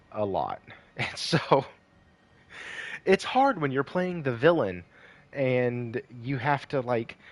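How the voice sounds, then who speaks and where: A man hosting a casual gaming livestream, hoarse and losing his voice, rambling with lots of fillers and greeting viewers by name. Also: lowish voice.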